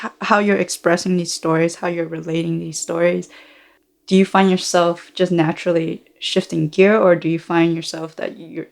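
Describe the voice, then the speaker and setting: A woman's voice: lowish voice, vocal fry, darkish tone. A woman hosting a podcast, asking thoughtful questions and rephrasing them as she goes. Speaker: podcast host. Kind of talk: interview questions.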